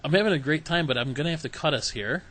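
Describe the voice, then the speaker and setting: A man's voice: fairly high pitch, fairly bright tone. A man hosting a podcast, talking in a loose, rambling way and laughing easily. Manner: chatty, rambling, quick laughs. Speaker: podcast host. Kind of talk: casual chat.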